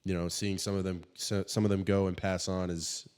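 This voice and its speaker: Deep-voiced man, a little stuffed up. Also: flat delivery, a little mellow, smooth. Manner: halting, many restarts and fillers. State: stuffed up from allergies. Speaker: podcast co-host. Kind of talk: casual chat.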